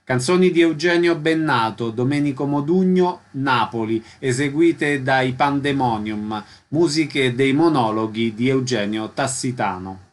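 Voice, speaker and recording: A man works as a radio announcer, reading a prepared programme notice. His voice is of average pitch and keeps to a scripted delivery. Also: a little slow, few pauses.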